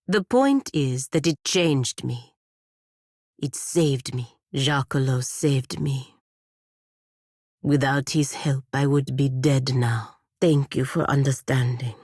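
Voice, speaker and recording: A woman playing a proud, stern godlike warrior in a fantasy video game, speaking in a formal, measured and curt manner. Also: rumbling low, darkish tone, slightly rough, dry recording, studio quality, exaggerated voice. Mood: earnest, grave.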